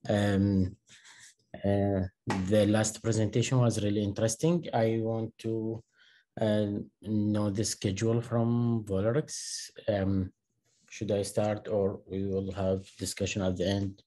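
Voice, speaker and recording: Deep-voiced man. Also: flat delivery, slow, dark tone. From Iraq. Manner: polite, hesitant. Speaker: neurosurgeon, webinar speaker. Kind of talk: conference discussion. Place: small indoor office. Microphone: video call with earphones.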